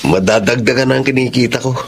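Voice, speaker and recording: A man's voice: mid-range voice, quick pace, gravelly, crowd noise, natural voice. A man playing a meek, apologetic tutor in his thirties in a drama, humble and hesitant. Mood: humble, earnest. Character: meek, apologetic thirty-five-year-old tutor, dutiful son. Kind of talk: emotional Filipino audio drama acting.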